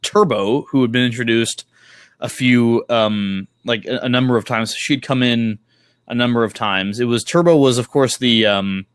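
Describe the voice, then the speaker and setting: A man's voice: mid-range voice, moderate pace, clean tone, no echo. Casual, profane man in a dry, close recording. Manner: informal, rambling, profane. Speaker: podcast host or guest discussing comics. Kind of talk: casual chat, enthusiastic recapping.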